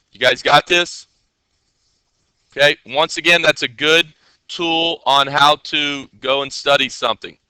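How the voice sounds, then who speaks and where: A man's voice: mid-range voice, pushed and bright, clean tone, no echo. A man teaching coding in a casual screen-share tutorial. He talks in a loose, chatty way with many fillers, and keeps checking in with his listeners.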